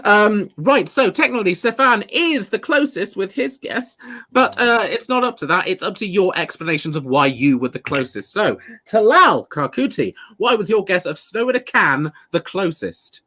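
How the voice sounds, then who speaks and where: A man in his thirties hosting a comedy panel game over a video call from home, excitable and quick to laugh. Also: high voice. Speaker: game show host. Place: home room.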